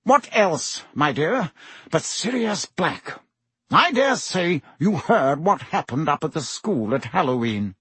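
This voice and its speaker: A man voicing a pompous, uneasy older government minister in an audiobook, with gruff, patronising bluster. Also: on the high side, big pitch swings, takes it slowly, fairly bright tone, dry recording, pitched above the performer's own voice, full character voice. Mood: confiding.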